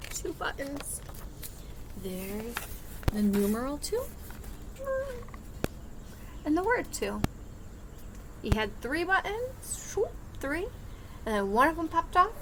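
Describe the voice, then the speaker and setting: A high-voiced woman reads a storybook for small children in a slow, very animated way. She sounds like a preschool teacher, sing-song and expressive as she explains simply. There is clear noise behind her. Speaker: early childhood teacher reading aloud. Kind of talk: animated children's read-aloud with singing and counting.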